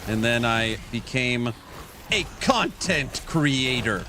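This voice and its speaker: Late-30s male game streamer, unhurried, jokey chat-reading banter. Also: medium pitch, lively intonation, noisy background. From California. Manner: jokey, reads viewer chat aloud and banters. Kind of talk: casual livestream chat while gaming.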